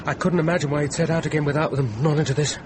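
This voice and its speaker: An actor playing a frightened, insistent man stranded in a snowstorm, giving grave, urgent warnings with dramatic pauses in a radio horror drama. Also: fairly high pitch, fairly even intonation, heavy background noise, close to an everyday voice. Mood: shaken, grave.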